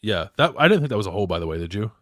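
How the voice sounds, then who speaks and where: A man's voice: fairly low pitch, big pitch swings, rapid-fire, a bit bright, no room sound, studio quality. A man co-hosting a sports podcast while he has a cold and is losing his voice, talking casually and hesitantly. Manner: hesitant, clears throat. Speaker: podcast co-host.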